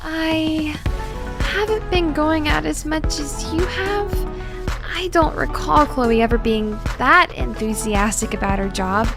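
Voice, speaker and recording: An actress plays a modest young female scientist in an audio drama, bookish and gentle. Her high voice is hesitant and easily flustered, with polite, wordy phrasing. Music runs clearly underneath. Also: slow. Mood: mild, concerned.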